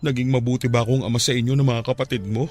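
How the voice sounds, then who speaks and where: An actor playing a grieving older widowed father in a Filipino radio-style drama, tender and despairing, speaking in a halting, pleading, sentimental way. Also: mid-range voice, steady tone, darkish tone, soft background music, natural voice. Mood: sad, subdued.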